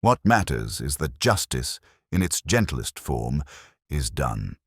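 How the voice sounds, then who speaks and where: A man voicing a calm, methodical police inspector in a mystery story, soft-spoken, formal and even. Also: a bass voice, slow, slightly rough, no echo, clean studio sound, heavily acted. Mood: quietly grave. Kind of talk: storybook detective dialogue.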